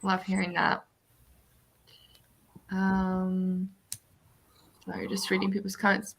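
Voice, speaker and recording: A woman's voice: mid-range voice, monotone, slow, vocal fry, fairly bright tone. A woman hosting a casual livestream, chatting with friends and reading out viewer comments. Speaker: host of a livestream reading sprint.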